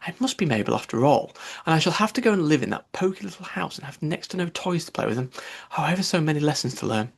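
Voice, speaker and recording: A man's voice: fairly high pitch, lively intonation, quick pace, vocal fry, husky, exaggerated voice. A man voicing an anxious, chattering young girl from a storybook, musing fretfully to herself. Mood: sad, fretful. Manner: muses aloud to self, fretful. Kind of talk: storybook character voice.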